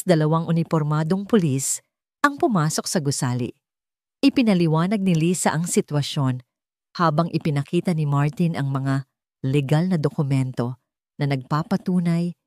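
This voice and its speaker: A woman's voice: deep voice, a little mellow, no room sound, studio quality. A woman with a Filipino accent reading a scripted dramatic story as an audio narrator.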